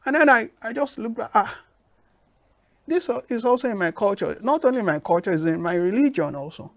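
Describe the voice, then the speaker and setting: A man with a Nigerian accent answering interview questions in a measured, emphatic way. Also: high-pitched, lively intonation, no room sound. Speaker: guest.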